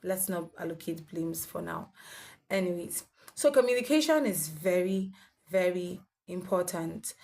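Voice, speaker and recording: A woman's voice: lowish voice, darkish tone. A young woman giving earnest, instructive health advice to her viewers. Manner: earnest, instructive, with fillers and restarts. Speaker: health vlogger with sickle cell. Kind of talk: advice monologue to camera.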